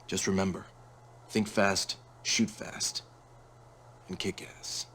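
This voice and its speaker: An actor playing a tough, sardonic officer and stern older brother in a video game cutscene, gruff and commanding. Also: male voice, low-pitched, little pitch movement, slow pace, background noise. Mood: sardonic, drilling.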